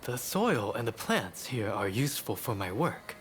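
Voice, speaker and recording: An actor playing a reclusive samurai medical researcher in a video game, courteous, wry and measured in his speech. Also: on the low side, big pitch swings, soft background music. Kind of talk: dramatic video game acting.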